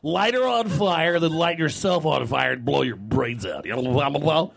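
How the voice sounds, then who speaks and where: A man's voice: fairly high pitch, animated, normal speed, vocal fry, a bit bright. A man playing a crude, bragging, misogynist radio shock jock in a parody talk-show act. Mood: crude, goading. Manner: pompous, boastful, insulting, calls people baby.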